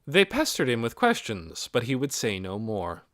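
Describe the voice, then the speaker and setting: A man reading a story aloud as an audiobook narrator, in an expressive, measured way. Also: mid-range voice, dry recording, clean studio sound. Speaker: audiobook reader.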